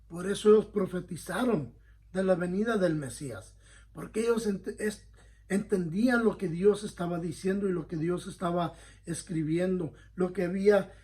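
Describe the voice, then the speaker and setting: A man's voice: high voice, darkish tone. A male pastor teaching a Bible study in an earnest, conversational way, often checking in with his listeners and correcting himself as he goes. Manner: frequent self-corrections.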